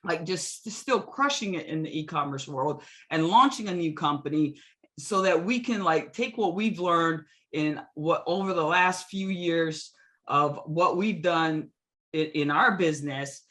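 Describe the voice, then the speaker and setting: A woman's voice: deep voice, a little slow, fairly bright tone. Eager middle-aged woman from Hawaii presenting. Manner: enthusiastic, many restarts. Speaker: guest speaker. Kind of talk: webinar presentation. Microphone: video call.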